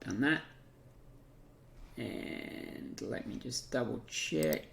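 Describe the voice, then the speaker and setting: An Australian man giving an unscripted software walkthrough, talking through each step haltingly as he thinks aloud. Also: mid-range voice, vocal fry, slight background noise. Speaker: software tutorial presenter. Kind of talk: unscripted screen-share walkthrough.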